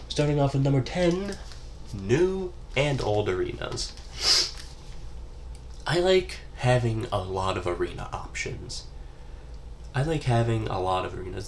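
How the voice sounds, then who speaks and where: A young man talks through a head cold, his voice low and stuffed up. He rambles offhand about a game, loose and casual with the odd swear. A faint hiss sits under the recording. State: congested from a cold. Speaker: gaming YouTuber. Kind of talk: casual solo gaming commentary.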